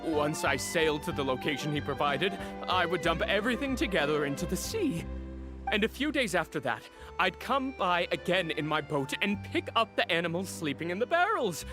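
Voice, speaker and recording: Cornered stammering smuggler, high and wildly varied, pleading, game score underneath. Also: male voice, very animated, moderate pace. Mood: confessing. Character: cowardly stammering male smuggler caught by guards. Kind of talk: game voice acting. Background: music.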